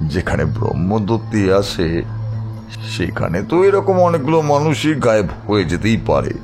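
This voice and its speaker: A ruthless crime boss in a horror audio drama, low-voiced and highly animated. He taunts and threatens with mocking questions, over clearly audible music. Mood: sly menace. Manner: menacing, mocking, drawn-out rhetorical questions.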